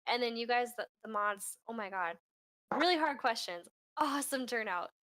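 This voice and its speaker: A woman's voice: fairly high pitch, lively intonation, normal speed, a bit bright. A woman hosting a community voice chat, upbeat and chatty, giving casual closing remarks and laughing often. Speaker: host of a community voice-chat AMA.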